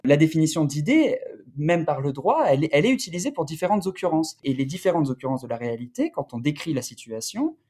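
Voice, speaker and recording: A man speaking as an academic researcher in an interview, explaining in a deliberate, halting, scholarly way. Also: fairly high pitch, big pitch swings, a little mellow, slightly rough.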